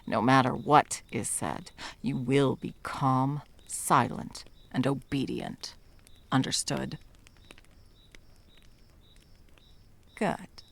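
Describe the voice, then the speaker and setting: A woman playing a stern, condescending yet tender monster hunter in an ASMR roleplay, commanding and dropping often into breathy whispers. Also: very deep voice, slow pace, exaggerated voice. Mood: controlling, menacing. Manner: commanding, condescending, with pet names.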